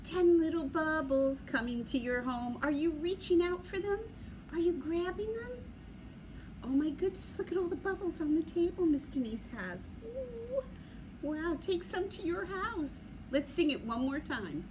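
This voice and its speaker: A middle-aged woman talking to young children as a library story time host, in an animated, sing-song way with simple questions. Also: very high-pitched, a little slow. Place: large library room with big windows, indoors. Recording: clear background noise, room ambience.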